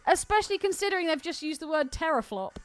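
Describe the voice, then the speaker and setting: A woman's voice: extremely high pitch, lively intonation, fast. A British woman co-hosting a film podcast in casual chat, with a cheeky, teasing, bawdy way of talking. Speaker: podcast co-host.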